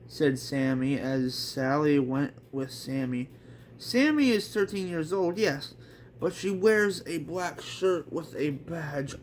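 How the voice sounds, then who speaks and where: Slow, halting man reading aloud, noisy recording. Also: a little high. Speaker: amateur author reading own manga aloud. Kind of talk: amateur read-along narration.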